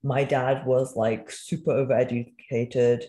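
A woman's voice: a bass voice, level tone, unhurried, warm tone. Woman guest telling her life story, reflective, lots of ums, echoey room. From born in China, raised in Wales. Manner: reflective, candid, uses fillers like um and you know. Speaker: guest, entrepreneur. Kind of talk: conversational interview storytelling.

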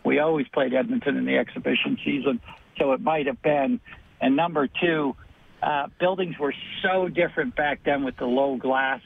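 A former hockey player as guest in a casual interview, speaking in a measured, reflective way. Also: male voice, mid-range voice, creaky, breathy edge. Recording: tinny phone line.

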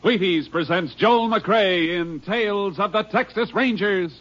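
A man's voice: high voice, measured pace. A man announcing a 1950s radio show in a formal, declamatory manner. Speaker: program announcer. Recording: dull old radio recording. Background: loud music.

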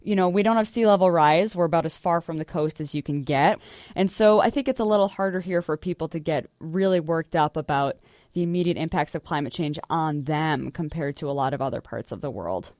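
Lower-voiced American woman reporter, dry sound. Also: brisk, darkish tone, no echo. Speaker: energy reporter. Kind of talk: conversational news reporting.

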